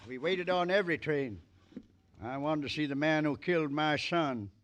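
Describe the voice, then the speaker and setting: Grieving frontier father male actor, older, grim, terse, somewhat higher voice, vintage radio drama. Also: moderate pace, fairly bright tone, natural voice. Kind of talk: old-time radio western acting.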